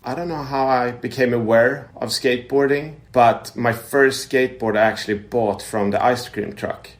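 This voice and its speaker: A man from Sweden, a podcast guest, telling relaxed stories full of "you know" and "like". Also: mid-range voice, moderate pace. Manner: relaxed storytelling, frequent "you know" and "like" fillers. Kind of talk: casual conversation.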